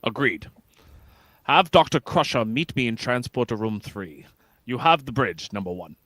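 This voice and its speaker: A man playing a principled, resolute starship captain in an amateur radio play, speaking earnestly and with deliberate authority. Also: medium pitch, lively intonation, a bit bright, no room sound, close to an everyday voice. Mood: commanding. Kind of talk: amateur radio theatre script read.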